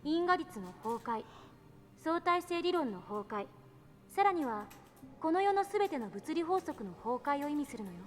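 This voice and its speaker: A woman's voice: high-pitched, big pitch swings, quick pace. A woman voicing a composed, intellectual eighteen-year-old female scientist in a visual novel game, lecturing precisely and assertively. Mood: serious, insistent.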